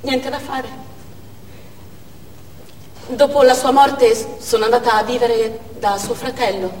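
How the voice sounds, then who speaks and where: An actress playing a grieving young Chechen war widow in a stage monologue, speaking in stark, halting short phrases. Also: female voice, a little high, fairly even intonation, a little mellow, recorded from a distance, natural voice. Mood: numb grief. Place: theatre stage, distant microphone. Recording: echoey theatre hall from afar, noisy.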